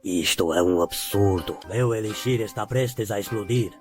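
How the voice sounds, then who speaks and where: A man's voice: lowish voice, normal speed, close to an everyday voice. A man playing a venerable, pompous old cultivation elder with formal speech in a dramatic motion-comic dub. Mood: indignant, demanding. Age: older. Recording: music clearly behind voice.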